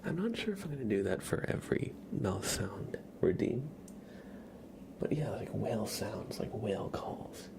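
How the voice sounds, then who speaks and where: Male ASMR streamer: deep, fry-laced, soft whispery delivery, relaxed chat to viewers. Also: moderate pace. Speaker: ASMR livestreamer. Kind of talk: ASMR, chatting softly with live chat.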